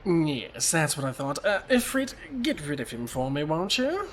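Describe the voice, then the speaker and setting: A man's voice: fairly high pitch, very animated, normal speed, fairly bright tone, a little hiss or hum. An actor playing a gleefully cruel madman sorcerer in an audio drama, theatrical, mock-polite and taunting.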